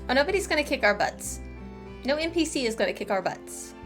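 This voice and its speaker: A woman's voice: sits high, sing-song, fairly quick, music in the background. A woman giving casual, playful, quippy commentary while playing through a game. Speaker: game streamer.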